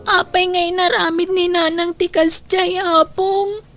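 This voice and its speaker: Woman acting very high-pitched anxious Ilocano granddaughter. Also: little pitch movement, unhurried, a bit bright, soft background music, full character voice. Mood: anxious, plaintive. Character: anxious, troubled young granddaughter. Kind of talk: radio drama acting.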